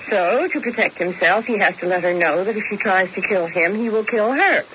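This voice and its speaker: A woman's voice: medium pitch, fairly quick, close to an everyday voice. An actress playing a fussy, vain older woman with arch, precise diction in a radio drama. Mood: wry, dramatic. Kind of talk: old-time radio drama acting. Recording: muffled, noisy old radio recording.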